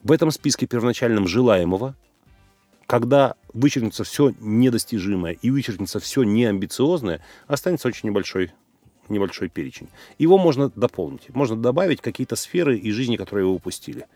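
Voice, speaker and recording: A man's voice: medium pitch, expressive, fairly quick, dark tone, no room sound, faint music behind. A man, a business coach, answering listener questions on a call-in show in a lecturing way, telling anecdotes with acted dialogue. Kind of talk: live call-in advice talk.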